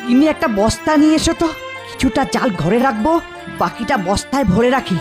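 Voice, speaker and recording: A woman's voice: a little high, animated, fast, music in the background, natural voice. A woman voicing a humble, hopeful, poor village husband in a cartoon folk tale, speaking in an earnest, reassuring manner. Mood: grateful, hopeful.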